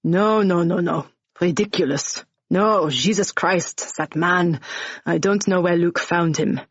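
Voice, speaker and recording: A woman voicing a genial wealthy bar owner in his sixties with a soft French accent. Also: lowish voice, slow pace, husky, dry recording, lo-fi sound, full character voice. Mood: dismissive. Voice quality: soft-spoken.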